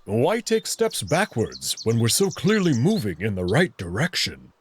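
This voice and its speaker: Man voicing Amicus, an expressive, proud alien prince. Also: mid-range voice, slight background noise. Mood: assured, explanatory. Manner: assured, measured, formal phrasing. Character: Amicus, proud protective furry alien prince, an older brother. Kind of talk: visual novel character acting.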